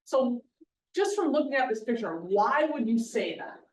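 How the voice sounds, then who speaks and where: A woman giving a conference talk to a live audience in a loud, projecting voice, chatty and quizzing the crowd. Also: fairly high pitch, expressive, moderate pace. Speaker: guest speaker, soil scientist. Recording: roomy hall, mic far off.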